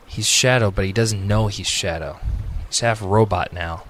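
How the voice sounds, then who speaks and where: A man's voice: fairly low pitch, moderate pace, fairly bright tone, natural voice. Casting: male actor as earnest echidna guardian, protective and worried, amateur radio drama, slight background noise. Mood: troubled.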